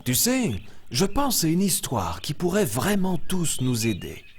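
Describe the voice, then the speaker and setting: A man's voice: a little high, huge pitch swings, a little slow, soft background music. A man playing a kindly, reassuring adult jungle animal who teaches and tells stories in a children's cartoon. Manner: patient, encouraging, storytelling.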